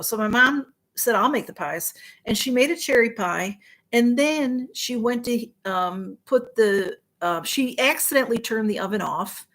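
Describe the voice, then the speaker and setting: A woman's voice: medium pitch, fairly bright tone. A tired middle-aged woman, chatting casually on a livestream, rambling with fillers and greeting viewers by name. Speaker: livestream host. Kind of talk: casual chat with live viewers.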